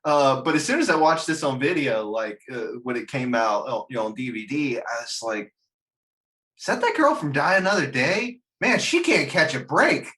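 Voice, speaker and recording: A man in an animated, casual podcast chat, ranting emphatically and swearing freely. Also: medium pitch, normal speed. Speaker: podcast panelist ranking video game movies.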